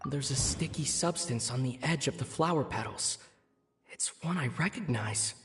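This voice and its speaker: An actor playing a calm young male investigator in a video game, reasoning in a methodical, analytical way. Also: medium pitch, moderate pace, faint music behind, natural voice.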